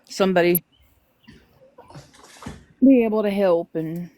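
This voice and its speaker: A woman's voice: lowish voice, slow, dark tone. A middle-aged woman hosting a casual livestream, chatting and talking to her viewers as she goes. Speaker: livestream host.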